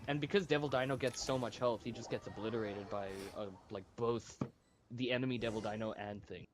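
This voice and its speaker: A man in casual gaming chat, slangy and excitable in the way he talks. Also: medium pitch, a little fast, background noise. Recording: game music behind the voice.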